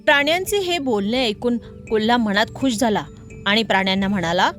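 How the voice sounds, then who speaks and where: Female narrator for children's moral tales, high-pitched voice, scripted storytelling over light music. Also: expressive, fairly quick, a bit bright.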